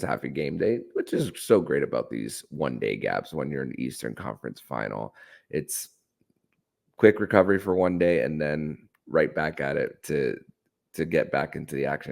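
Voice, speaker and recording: Very deep, creaky-voiced male podcast host. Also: a little mellow. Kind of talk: solo podcast commentary on hockey.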